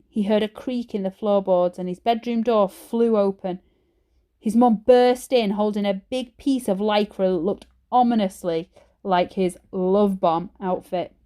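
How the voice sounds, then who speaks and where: A woman teacher reading a children's story aloud in an expressive storytelling style. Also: mid-range voice.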